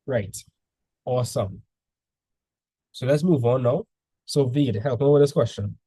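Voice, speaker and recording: A man's voice: mid-range voice, measured pace, mellow, slightly rough, no echo. A male teacher tutoring students in a casual session, full of "like" fillers, quizzing them with questions as he goes.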